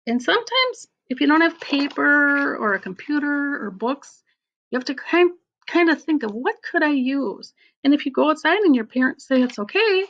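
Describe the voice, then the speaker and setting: A woman schoolteacher talking casually and instructively to children, expressive and encouraging them to use their imagination. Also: a little high, lo-fi sound. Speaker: elementary school teacher.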